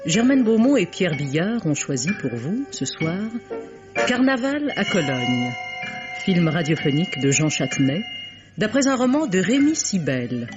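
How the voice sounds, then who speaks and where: A radio announcer delivers a formal scripted programme introduction with a very animated, moving pitch. Music plays clearly behind the voice. The recording is dull and old-fashioned. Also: measured pace.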